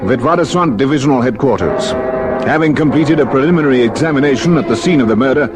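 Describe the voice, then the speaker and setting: An actor playing a matter-of-fact official in a radio crime drama, clinical and formal. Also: male voice, medium pitch, expressive, fast talker, loud music behind the voice, lightly acted.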